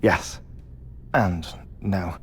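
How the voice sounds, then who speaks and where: A man's voice: deep voice, fairly even intonation, drawn-out words, slight background noise. An actor in a game cutscene playing an eccentric, melancholy middle-aged man, with hesitant, stammering, formally worded speech. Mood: dry, matter-of-fact. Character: eccentric, melancholy middle-aged man living in twenty-one-minute cycles. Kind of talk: video game cutscene acting.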